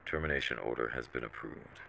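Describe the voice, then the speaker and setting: An extremely low, creaky voice with a forceful edge reads out a classified horror file , acted by a man. The delivery is clinical, measured and deliberate, like a scripted audio log, with faint noise on the recording. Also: heavily acted, low-quality recording. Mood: clinical, grave. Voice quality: very deep, gravelly. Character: SCP Foundation document reader and shaken field soldier.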